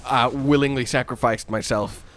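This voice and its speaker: A man in casual, playful gameplay banter as a co-host, excitable and given to swearing. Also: medium pitch, moderate pace, a little hiss or hum. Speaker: co-host of a gaming video.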